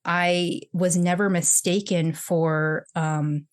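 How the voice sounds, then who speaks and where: A woman talks with a lower voice, chatty and easygoing as she tells stories from her childhood. Her speech runs along with lots of fillers and restarts, like a relaxed podcast conversation. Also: slow, clean tone. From Texas, United States. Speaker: podcast guest. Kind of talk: casual conversational interview.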